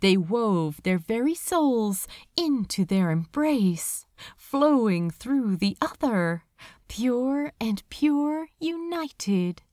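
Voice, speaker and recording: Female audiobook narrator: tender, dramatic, unhurried, emotive phrasing, animated pitch. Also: dry recording, clean studio sound. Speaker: amateur audiobook reader.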